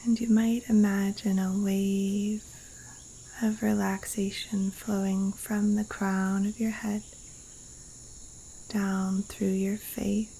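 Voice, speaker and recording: A woman guiding a yoga nidra meditation in a hushed, breathy, soothing voice with long pauses, recorded outdoors in the jungle. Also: medium pitch, level tone, poor audio. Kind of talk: guided meditation. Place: outdoors in a Costa Rican jungle. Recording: faint rainforest insect and cricket noise. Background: insects and rainforest wildlife sounds.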